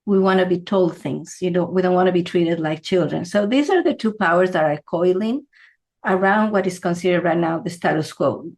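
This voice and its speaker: A woman from Ecuador explaining symbolism in a relaxed teaching talk, often checking in with "right?". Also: fairly low pitch, normal speed. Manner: conversational, asks "right?" often. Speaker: feng shui teacher.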